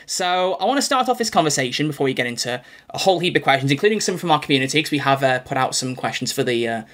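A man's voice: medium pitch, lively intonation, very fast, a bit bright. A young man hosting a podcast interview from home, chatty, effusive and rambling as he talks to a guest. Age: young adult. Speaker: podcast host. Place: small home room, video call.

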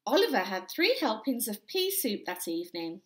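A young woman reading a children's picture book aloud in an expressive, deliberate storytelling manner. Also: medium pitch, echoey room. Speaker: primary school story time reader. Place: small indoor room with bare walls.

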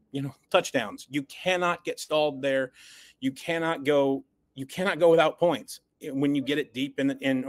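A man's voice: mid-range voice, dry recording. A man co-hosting a sports podcast, giving post-game analysis in an emphatic, blunt way and stumbling over repeated words as he builds up steam. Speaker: podcast co-host.

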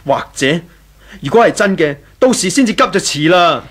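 A man's voice: high-pitched, lively intonation, moderate pace, fairly bright tone. A man with a Hong Kong Cantonese accent playing a jealous, self-pitying young rich husband in a 1980s radio drama. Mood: agitated, jealous. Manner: emotional, self-pitying, impatient. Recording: 1983 radio drama with background music.

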